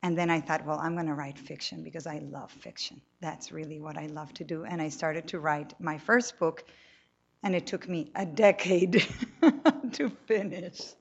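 A woman's voice: low-pitched, moderate pace, creaky, husky, lo-fi sound. A woman from Colombia, a novelist talking animatedly on a panel before an audience, often restarting her sentences. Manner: animated, talkative, frequent restarts. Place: room with a live audience.

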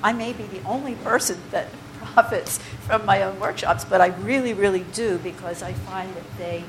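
A woman's voice: medium pitch, moderate pace. A woman leading a poetry workshop, talking informally and digressively to the group, with many fillers and restarts. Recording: noisy room recording.

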